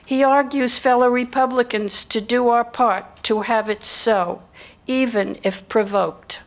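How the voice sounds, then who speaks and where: A woman's voice: on the high side, steady tone, a little slow. An older woman reading scripted historical narration into a lectern microphone. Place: indoor hall with seated audience.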